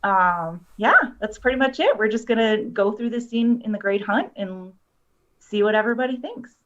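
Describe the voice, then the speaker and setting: A woman's voice: mid-range voice, normal speed, a bit bright, lo-fi sound. A woman guest explaining things in casual chat, as a screenwriter would on an online show.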